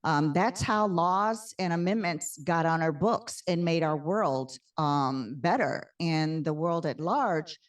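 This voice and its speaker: A woman hosting a podcast interview, with a very low voice for a woman. She speaks earnestly and often pauses on "um." She sounds a little distant from the mic. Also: animated. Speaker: podcast host. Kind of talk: conversational interview.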